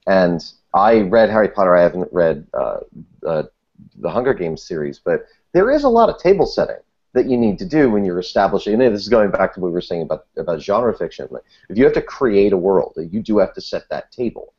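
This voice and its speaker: A man with a very low voice chats on a podcast through dull, lo-fi audio. He is articulate and wordy, with a playful turn of phrase. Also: big pitch swings, brisk, darkish tone, breathy edge. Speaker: podcast guest. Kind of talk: casual discussion of fiction writing.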